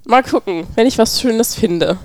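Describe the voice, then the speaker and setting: A woman's voice: fairly high pitch, expressive, unhurried, darkish tone, no room sound. Young woman chatting, very dry sound. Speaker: podcast co-host. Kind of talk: casual chat.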